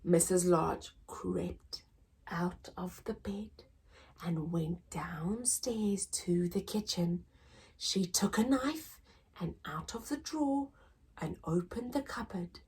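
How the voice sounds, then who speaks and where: A woman's voice: fairly low pitch, takes it slowly, darkish tone, breathy edge. A woman reading a children's story aloud as a story-time teacher, dramatic and addressed to young listeners. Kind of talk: expressive children's read-aloud.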